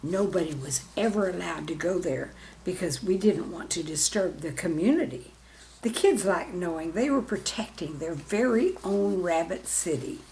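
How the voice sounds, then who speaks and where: A woman's voice: lowish voice, lively intonation, normal speed, dark tone, a little hiss or hum, rough recording. A woman reading a children's story in a simple, friendly way, as if speaking directly to young listeners. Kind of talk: gentle scripted storytelling for children.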